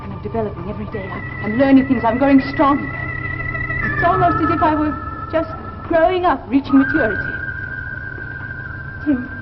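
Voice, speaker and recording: High-voiced earnest wife, old radio. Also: female voice, wildly swooping pitch, over loud music. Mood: urgent, intense. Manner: earnest, halting, repeats phrases for emphasis. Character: troubled wife secretly turning superhuman, earnest and hushed. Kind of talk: 1950s radio drama acting. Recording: dull, noisy vintage radio recording.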